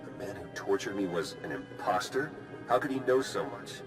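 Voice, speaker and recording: Low-voiced earnest space agent. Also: male voice, very animated, moderate pace, music in the background. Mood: serious. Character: earnest, skeptical male agent in a space war. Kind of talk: video game cutscene acting.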